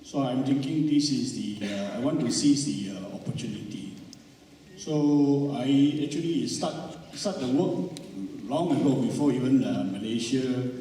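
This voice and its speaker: A man speaking on a panel, halting and repeating his words. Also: mid-range voice, animated, dark tone, slight background noise. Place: large event hall. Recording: echoey hall, distant mic.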